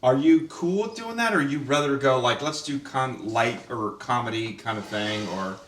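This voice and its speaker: A man's voice: medium pitch, expressive, normal speed, a bit bright, distant mic. Male host, chatty questioner, roomy room, off mic. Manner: chatty, asks questions, many restarts. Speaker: writer-director and host. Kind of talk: casual roundtable chat.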